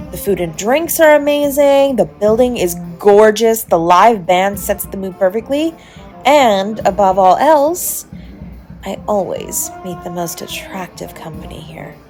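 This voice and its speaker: A woman's voice: medium pitch, animated, unhurried, a little mellow, close to an everyday voice. A woman playing a charming, flirtatious stranger at a party, chatty, coy and teasing, in an intimate romantic audio roleplay. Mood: enthusiastic, flirty. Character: charming, playfully flirtatious adult party guest, smooth-talking stranger. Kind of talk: romantic audio roleplay, intimate one-sided dialogue to the listener. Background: music behind the voice.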